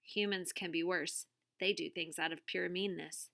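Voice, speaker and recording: A woman's voice: fairly low pitch, steady tone, moderate pace, gravelly, a bit bright. A woman voicing a sensible, protective teenage boy who is earnest, wary and plain-spoken. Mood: reassuring.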